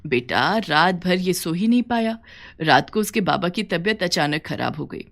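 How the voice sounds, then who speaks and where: A woman's voice: on the low side, lively intonation, normal speed, vocal fry. A woman voicing an elderly village mother in a cartoon story, caring and weary, plaintive and tender in manner. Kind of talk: Hindi cartoon story dialogue.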